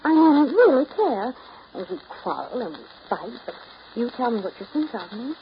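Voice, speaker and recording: A woman's voice: on the high side, big pitch swings. Needy, restless woman, muffled old radio. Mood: clinging, pleading. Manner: pleading, emotional, halting and dramatic. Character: restless, needy, self-pitying woman afraid of getting old. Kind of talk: 1930s American radio drama acting. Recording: muffled, noisy 1930s radio broadcast recording.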